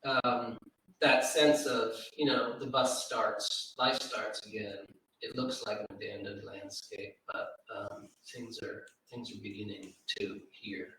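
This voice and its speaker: Bright-voiced man in a very echoey room. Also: lowish voice, fairly even intonation, slow pace. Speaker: book editor and panelist. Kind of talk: informal literary discussion. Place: indoor event room with audience. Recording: very echoey room, distant mic, faint noise.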